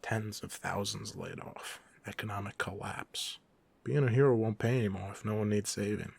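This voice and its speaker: A man playing a cocky, playful, secretly soft-hearted young supervillain in a casual, intimate roleplay audio drama, teasing the listener as he talks. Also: fairly low pitch, fast talker, creaky. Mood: smug, persuasive. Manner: teasing, casual, smug, conversational.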